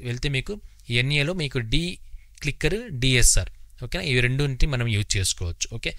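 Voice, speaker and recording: A man giving an informal step-by-step software tutorial, instructional and often repeating himself, close on the mic. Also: mid-range voice, no echo. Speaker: tutorial host.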